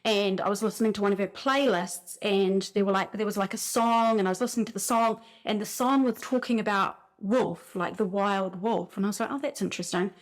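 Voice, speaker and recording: A woman with a New Zealand accent talks casually about spiritual signs, telling her stories with animated, rambling energy. Her voice sits at a middle pitch for a woman, and she fills her sentences with "like" as she goes. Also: brisk, few pauses. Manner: animated storytelling, frequent "like" fillers. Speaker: spiritual speaker.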